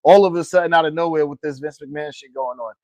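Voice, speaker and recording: A man's voice: fairly high pitch, fast talker, a crowd in the background. A man co-hosting a casual wrestling podcast, talking bluntly with heavy slang and constant cursing. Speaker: podcast co-host. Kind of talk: casual profane chat about pro wrestling.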